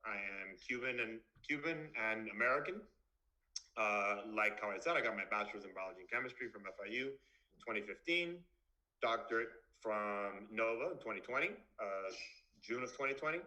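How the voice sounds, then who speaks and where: A man's voice: fairly low pitch, expressive, fairly quick, bright, forceful tone, rough recording. A man in his twenties from Miami, a dentist giving a blunt, casual talk to students over a video call. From Miami, Florida. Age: 20s. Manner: blunt, straightforward, casual with fillers. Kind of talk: online presentation to students.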